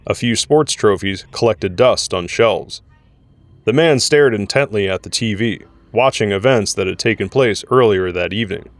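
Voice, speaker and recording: A man's voice: lowish voice, moderate pace, no room sound. A man narrating a scripted audio drama in the role of storyteller.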